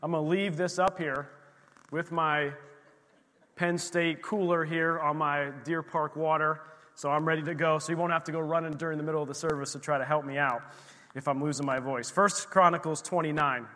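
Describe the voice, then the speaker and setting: A man preaching in church, slightly losing his voice, reading scripture slowly and with emphasis. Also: high voice, sharp tone. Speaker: pastor. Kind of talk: sermon. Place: church sanctuary. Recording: long hall reverb of a church.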